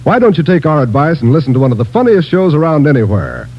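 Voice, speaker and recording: A man's voice: on the high side, wildly swooping pitch, fast, a little hiss or hum. A man working as a radio announcer with a polished 1950s broadcast delivery. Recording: dull old radio broadcast with music.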